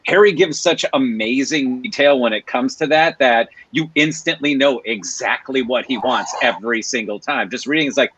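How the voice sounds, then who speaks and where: A man's voice: mid-range voice, a bit bright, breathy edge, no room sound, dull audio. A man guest on a podcast speaking eagerly, often restarting his sentences. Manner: eager, stumbling starts. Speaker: voice actor guest. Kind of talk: casual podcast chat.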